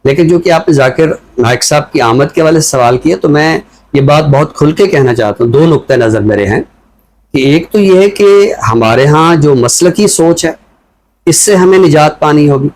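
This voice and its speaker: A man with a Pakistani accent giving reflective talk show commentary in a deliberate, explanatory way, often posing rhetorical questions. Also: a little high, lively intonation, darkish tone, low-quality recording.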